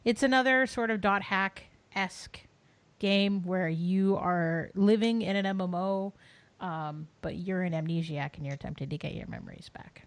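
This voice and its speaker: A woman's voice: medium pitch, moderate pace, no echo. Woman co-hosting, close dry sound. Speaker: podcast co-host presenting game news. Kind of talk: casual podcast chat.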